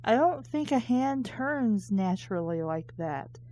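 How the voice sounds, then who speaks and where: A woman's voice: on the high side, expressive, measured pace, warm tone, no room sound. A woman hosting a book reading show, reading aloud casually and breaking off for sarcastic, critical asides.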